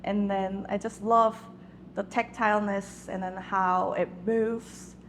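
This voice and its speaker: A woman's voice: mid-range voice, little pitch movement, slow pace, slight background noise. A woman from South Korea, a native Korean speaker, chatting about her path as an artist, searching for words with many fillers. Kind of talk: panel talk.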